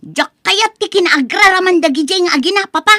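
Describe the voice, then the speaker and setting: A woman's voice: very high-pitched, a little fast. A woman acting a spoiled, haughty college-age daughter in an Ilocano radio drama, whiny and scornful, with an Ilocano accent. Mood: petulant, indignant.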